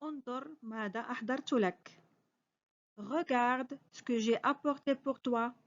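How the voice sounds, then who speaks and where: High-voiced teacher woman drilling phrases. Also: moderate pace, highs cut off. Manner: careful, clearly spoken model sentences, each repeated. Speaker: French teacher. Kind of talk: slow repeated phrase drills with quick translations.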